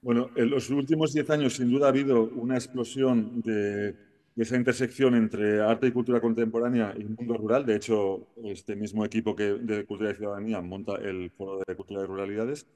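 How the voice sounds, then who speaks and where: Forties man, low dark voice, video call through hall speakers, measured and halting. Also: little pitch movement. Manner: deliberate, frequent fillers. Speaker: panel speaker joining remotely. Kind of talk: measured panel talk.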